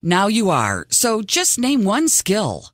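A woman's voice: mid-range voice, huge pitch swings, slow, no room sound, clean studio sound, heavily acted. An actress voicing a condescending, probing female interviewer in a video game, formal and cutting. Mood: cool, needling.

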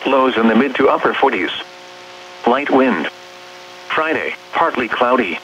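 A synthetic man's voice reading an automated weather bulletin in a measured, impersonal way, as a radio announcer. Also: mid-range voice, animated, fairly bright tone. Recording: hummy, muffled weather radio reception. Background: radio hum and noise.

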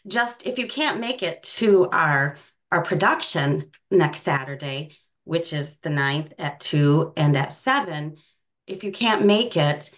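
A woman's voice: low-pitched, lively intonation, takes it slowly, a bit bright. An American woman chatting casually as an interview guest about her work in community theater. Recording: dull, lo-fi sound.

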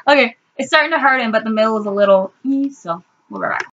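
Bright-voiced teen girl on a webcam. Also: on the high side, quick pace, dull audio, a little hiss or hum. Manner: chatty, explaining step by step. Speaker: toy reviewer, co-host. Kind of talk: casual toy review. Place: bedroom, indoors, seated on the floor. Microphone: built-in webcam mic.

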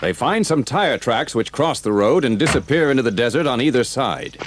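A man's voice: medium pitch, lively intonation, moderate pace. A man narrating a scripted adventure story for an audio drama, on an old cassette recording. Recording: noisy old cassette transfer.

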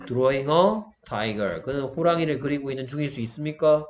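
Male grammar teacher, mid-pitched, explanatory delivery with frequent check-in questions to students. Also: animated, normal speed.

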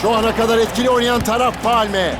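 Cartoon co-commentator, enthusiastic and exclaiming, very high register, over music and crowd noise. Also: male voice, fairly even intonation, fast. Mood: thrilled. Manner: shouty, exclaiming. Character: exuberant male football co-commentator, breathlessly enthusiastic. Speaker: match co-commentator. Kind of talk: cartoon football commentary dub. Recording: music and stadium crowd behind.

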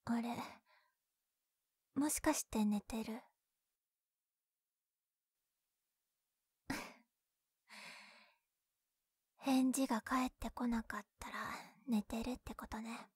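A woman voicing a bashful, secretly caring tsundere young childhood friend in close-up ASMR roleplay, breathy and often whispering, scolding yet tender. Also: a little high, fairly even intonation, measured pace, a little mellow, no echo. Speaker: voice actress in roleplay audio. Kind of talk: Japanese ASMR situation-voice roleplay. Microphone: close binaural microphone, dry.

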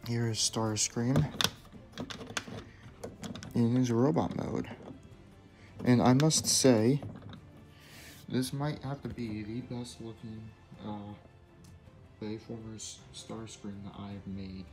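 A young man with a lowish voice talks through a build step by step, relaxed and casual. He slips in small asides and corrects himself as he goes. Clear music plays behind him in an indoor room. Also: slow pace, long pauses. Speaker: toy builder reviewing his creation. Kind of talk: casual hands-on toy review narration. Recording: music playing in the background.

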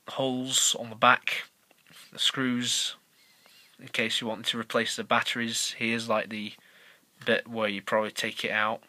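Slow-paced young man, bright voice. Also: medium pitch. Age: young adult, about 19. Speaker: YouTube toy reviewer. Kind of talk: casual unscripted action figure review. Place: small bedroom, indoors. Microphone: handheld camera's built-in mic.

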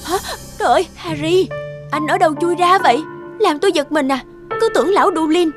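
A woman's voice: extremely high pitch, brisk, over music. A woman playing a struggling young mother and street peddler in a cartoon, exasperated and dramatic, weary yet defiant. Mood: indignant. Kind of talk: dubbed cartoon acting.

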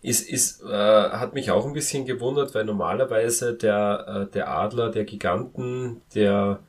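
A man co-hosting a podcast, casually reviewing an audio drama in a halting way, repeating words as he thinks. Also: on the low side. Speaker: podcast co-host.

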